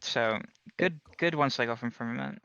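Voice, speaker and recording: A man calls a live speedrun race for an online audience. His voice sits at an average pitch, and he talks in a relaxed, analytical way, breaking down routes and strategy between small asides. He sounds easygoing and knowledgeable, like a regular of the show. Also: normal speed, creaky, clean tone, lo-fi sound. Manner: casual, analytical, explains strategy, occasional laughs. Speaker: commentator. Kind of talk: live esports commentary.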